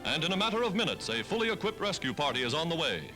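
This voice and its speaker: A man's voice: fairly high pitch, big pitch swings, rapid-fire, bright, forceful tone, soft background music. An American man narrating a 1940s newsreel in an emphatic, dramatic announcer style, on an old film soundtrack.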